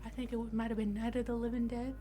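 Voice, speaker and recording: A woman's voice: on the high side, little pitch movement, rapid-fire, dark tone. A woman chatting casually with fellow players during a tabletop roleplaying game. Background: music.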